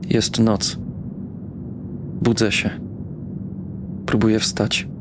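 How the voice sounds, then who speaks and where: An actor playing a bitter, brooding young man in an audio drama, narrating and speaking in a weary, terse deadpan. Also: on the low side, background noise. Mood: weary, struggling. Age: young adult. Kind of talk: audio drama first-person narration and dialogue.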